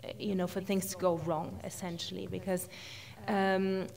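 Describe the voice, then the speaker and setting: Woman panelist, slow and flat delivery, hesitant. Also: lowish voice, vocal fry. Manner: hesitant, many fillers. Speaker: panelist from a development charity. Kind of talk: panel discussion answer.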